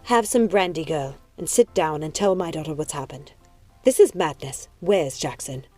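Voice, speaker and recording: A woman as older noble mamma, reproving, composed, commanding, mellow tone, storybook. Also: deep voice, animated, moderate pace, soft background music. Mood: frustrated. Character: testy older aristocratic mother, stern but composed.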